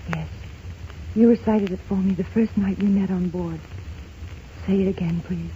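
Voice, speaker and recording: An actress playing a frightened, fragile young wife in a 1930s radio drama, with a wistful, tremulous delivery. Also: female voice, medium pitch, fairly even intonation, normal speed, warm tone, natural voice. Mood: wistful, emotional. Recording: muffled, hissy 1930s radio broadcast.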